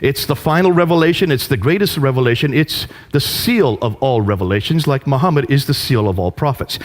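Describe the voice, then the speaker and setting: A man giving a lecture to an audience, telling a story with animated delivery and rhetorical questions. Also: mid-range voice.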